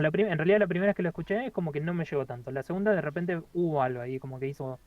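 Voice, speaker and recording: A man, co-hosting a casual album review, talking haltingly with many fillers and restarts. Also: mid-range voice, dry recording, muffled recording. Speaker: podcast co-host reviewing an album.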